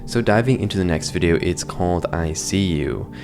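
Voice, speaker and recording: Deep voiced guy doing horror video commentary, music playing behind him. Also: normal speed, creaky. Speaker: video host. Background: background music.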